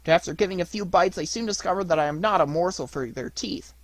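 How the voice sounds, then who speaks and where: Man voicing a cheeky, high-pitched wooden puppet boy. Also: fast talker, dry recording. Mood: gleeful recounting.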